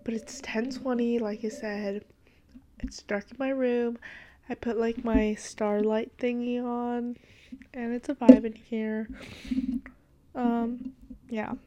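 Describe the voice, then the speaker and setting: A woman's voice: fairly high pitch, level tone, slow, pauses often, dark tone. A young woman from Washington state hosting a podcast, chatting casually about her life with lots of "like" and "literally", a little congested from allergies. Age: young adult. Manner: rambling, full of "like" and "literally", with giggles. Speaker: podcast host. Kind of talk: casual chat. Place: her dark bedroom at night.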